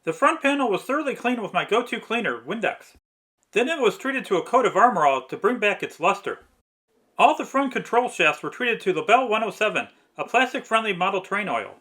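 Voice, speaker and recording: A man's voice: high-pitched, very animated, fairly quick, pushed and bright. A man reading a scripted step-by-step restoration narration in precise, methodical phrasing. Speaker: narrator of a radio restoration video.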